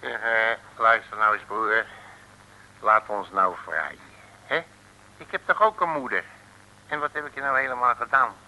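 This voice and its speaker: A cheeky, wheedling detective from an old radio serial. His voice is very sharp and forceful at a middling pitch, and he talks in folksy, streetwise slang as if coaxing everyone. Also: moderate pace, long pauses, slight background noise, poor audio. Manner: folksy, colloquial, cajoling, calls listener brother. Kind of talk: 1950s-60s radio serial acting.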